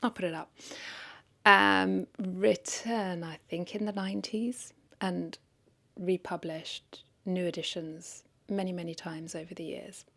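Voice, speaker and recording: A woman's voice: fairly low pitch, steady tone, measured pace, creaky, fairly bright tone. A woman talking casually in an unscripted vlog, rambling and correcting herself as she thinks aloud. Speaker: content creator, vlogger.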